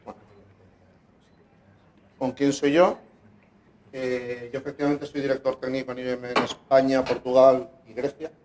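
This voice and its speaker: Spanish man, middle-aged, conference speaker, casual, halting with fillers. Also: mid-range voice, monotone, a little mellow, a little hiss or hum. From Valencia, Spain. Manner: casual, hesitant with many fillers. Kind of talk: conference talk.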